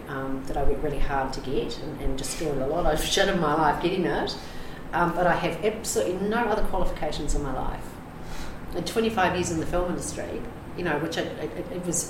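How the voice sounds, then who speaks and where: Middle-aged New woman, very deep voice, frank and rambling, echoey room with noise. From New Zealand. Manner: frank, rambling, many fillers and restarts. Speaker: addiction recovery navigator. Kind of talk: candid interview talk.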